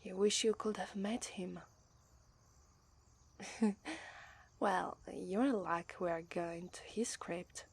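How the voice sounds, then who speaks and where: A woman's voice: lowish voice, fairly even intonation, slow, vocal fry, pauses often, husky, full character voice. A woman playing a shy demon princess bride, Italian accent. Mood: wistful. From Italy. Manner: gentle and soft-spoken, often hushed to a whisper, with nervous hesitant restarts. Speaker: voice actress. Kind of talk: ASMR roleplay.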